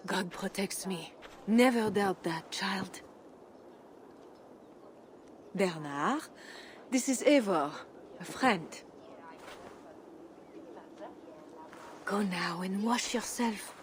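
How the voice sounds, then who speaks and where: A woman playing a dignified, guarded and pious medieval Frankish queen in measured, formal video game dialogue. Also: mid-range voice, a little hiss or hum, lightly acted. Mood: gently maternal. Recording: music behind the voice.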